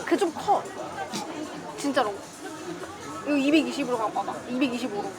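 A woman's voice: a little high, expressive, moderate pace. A woman chatting casually with her partner in an everyday conversational way. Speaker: vlogger's wife. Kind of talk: casual couple chat. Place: outlet shoe store. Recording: background music and store crowd noise. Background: music, shoppers' chatter.

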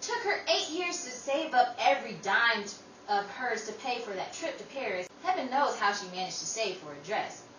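A woman's voice: on the high side, animated, moderate pace, pushed and bright. A woman playing a caring, exasperated older sister in an old time radio drama, with theatrical delivery. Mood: alarmed, scolding. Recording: distant, echoey room with faint noise.

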